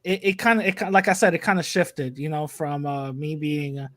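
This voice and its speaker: Joking New man, fairly high voice. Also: fairly even intonation, a little fast, no room sound. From New York City, USA. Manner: joking, rambling, with fillers and laughs. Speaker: podcast host, interviewee. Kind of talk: casual interview chat.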